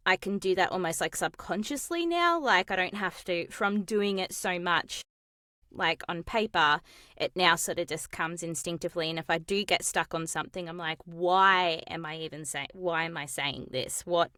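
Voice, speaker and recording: A woman, a voice actor chatting casually and explaining her craft in short, animated bursts from a recording booth. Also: on the low side, moderate pace, no room sound, clean studio sound. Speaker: voice actor and acting coach. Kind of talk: casual interview chat. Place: home or studio voice booth. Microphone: close studio mic in a recording booth.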